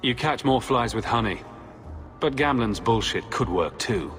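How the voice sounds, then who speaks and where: An actor playing a confident, composed male adventurer in a video game, measured and diplomatic with a dry edge. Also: mid-range voice, lively intonation, a bit bright. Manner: measured, diplomatic, dryly probing. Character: composed, confident human adventurer, the heroic lead. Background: game music.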